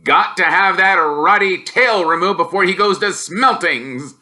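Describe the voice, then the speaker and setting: A man voicing a gruff, bad-tempered middle-aged uncle in a storybook, with a growling, scornful, forceful delivery. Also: high-pitched, unhurried, voice pushed up, exaggerated voice. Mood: irritated, scornful. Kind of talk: storybook character voice.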